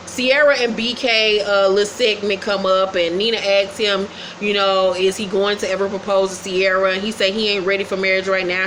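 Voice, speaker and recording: Woman host, sassy gossip recap, blunt and profane, bright tone, close mic. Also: medium pitch, slight background noise, poor audio.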